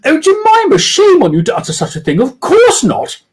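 A man reading aloud and voicing a haughty, posh woman with a high-pitched squawk and a drawn-out, schoolmistress-like manner. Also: wildly swooping pitch, exaggerated voice. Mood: indignant. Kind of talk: storybook reading.

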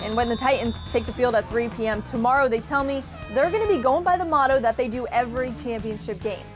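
High-voiced young woman sports anchor. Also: fast, over music. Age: young adult. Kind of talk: scripted TV sports narration. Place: TV studio.

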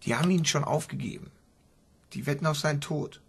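An actor playing a determined, secretive young son in a radio crime drama, with a husky, creaky voice. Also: male voice, a little high, brisk, slight background noise. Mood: earnest, defensive.

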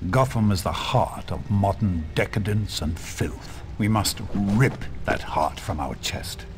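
A grave, ruthless cult leader. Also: male voice, lowish voice, lively intonation, noisy background. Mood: cold conviction. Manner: measured, solemn, pronouncing. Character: grave, ruthless male leader of a secret order. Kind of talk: dramatic video game cutscene acting.

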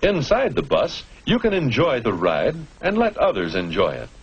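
A man's voice: medium pitch, huge pitch swings, moderate pace, slightly rough, muffled recording. A man narrating a 1950s educational film in an instructive manner, putting rhetorical questions to the viewer. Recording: noisy old 1950s film soundtrack.

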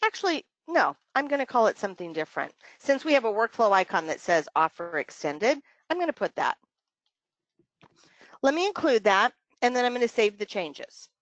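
A woman leading a training webinar, talking through software steps conversationally, thinking aloud and repeating phrases for emphasis. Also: on the high side, sing-song, moderate pace, dry recording. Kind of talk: conversational step-by-step software walkthrough. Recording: dull, lo-fi dry webinar audio.

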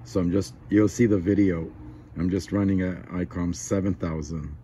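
A man's voice: deep voice, steady tone, normal speed, dark tone, a little hiss or hum. A man talking casually on ham radio in an admiring, slangy way. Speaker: amateur radio operator.

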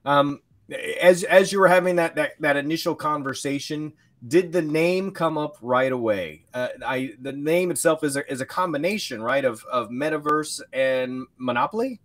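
A man's voice: a little high, moderate pace. A man in his thirties hosting an upbeat online interview, speaking close into a desk microphone. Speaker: podcast host. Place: small home studio, video call. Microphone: large close desk microphone, headset.

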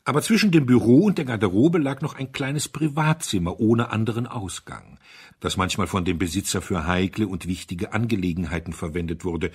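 A man with a fairly low, husky voice narrates a literary tale. His delivery is deliberate and measured, placing expressive emphasis on phrases as a practiced audiobook reader does.

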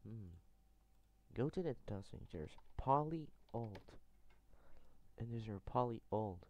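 A man hosting a casual software tutorial, thinking aloud in a halting, hesitant way. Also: lowish voice, expressive, dull audio. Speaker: tutorial host. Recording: noisy room, keyboard and mouse clicks. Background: typing and mouse clicking.

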